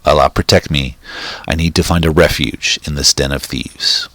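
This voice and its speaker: Deep-voiced man reading a story, dry sound. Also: dry recording. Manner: steady, measured reading with occasional slips. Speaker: reader. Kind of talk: reading a short story aloud.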